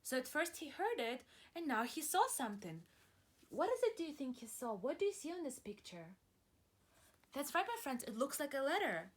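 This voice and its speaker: A woman with a bright, fairly high voice reads a picture book to small children as their teacher. She takes her time, swinging widely in pitch for drama, and pauses to put questions to her young listeners with plenty of encouragement. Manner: slow, deliberate and dramatic, stretching words, pausing to ask questions; encouraging, praising and addressing listeners as friends. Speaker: preschool teacher reading aloud.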